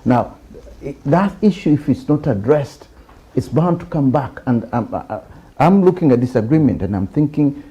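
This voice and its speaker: Ugandan man, middle-aged studio talk host, dark and husky, presses his point. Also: animated, moderate pace. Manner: gestures and pushes back, gets louder and higher when pressing a point. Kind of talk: studio discussion.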